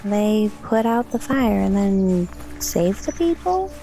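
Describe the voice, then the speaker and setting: Woman doing a cheeky curious kid daughter, unhurried and soft-toned, bit of music underneath. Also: mid-range voice, big pitch swings, gravelly, heavily acted. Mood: curious, doubtful. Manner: inquisitive, teasing. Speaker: voice actor. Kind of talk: audio drama dialogue. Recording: faint music behind the voice.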